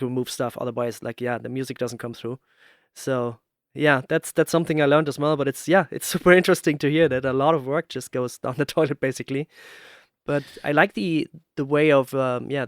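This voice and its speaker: A man's voice: mid-range voice, no echo, studio quality. A man hosting a podcast interview in a casual, rambling and hesitant but enthusiastic way.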